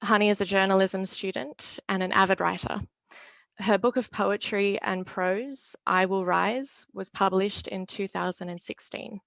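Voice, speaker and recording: A woman's voice: mid-range voice, steady tone, measured pace, vocal fry, fairly bright tone, no room sound, rough recording. A woman hosting an online event, reading a formal introduction with some "um" and "uh" fillers. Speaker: event host, solicitor.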